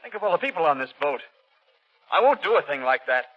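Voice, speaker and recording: A troubled young man pleads nervously and haltingly in a vintage radio play. His voice is fairly high and very animated, on a dull recording. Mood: indignant, desperate. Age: young adult. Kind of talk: 1930s radio acting.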